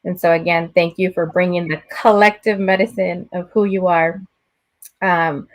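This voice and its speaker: Code-switching woman hosting a video chat. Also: on the low side. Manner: mixes Spanish phrases into English; affirming, frequent "right?" tags. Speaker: host. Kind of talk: casual conversation on a video call.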